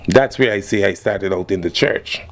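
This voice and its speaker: Laid-back, low-voiced Guyanese man. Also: moderate pace. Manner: relaxed, laid-back, repeats "yeah, man". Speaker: guest musician. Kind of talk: casual interview.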